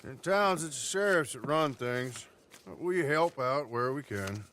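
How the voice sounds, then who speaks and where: Game casting: middle-aged American marshal, stern and deliberate, medium pitch, dry authority. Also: male voice, expressive, a bit bright, slight background noise. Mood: courteous, dry. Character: stern, self-possessed male frontier marshal, ruthless but courteous. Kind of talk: video game western cutscene acting.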